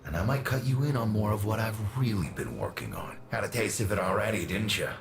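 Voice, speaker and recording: A man's voice: lowish voice, animated, a little fast, gravelly. A man playing a cocky, resentful upstart son in a video game, with an informal, dramatic way of talking. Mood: bitter scheming. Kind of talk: video game character acting. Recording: music behind the voice.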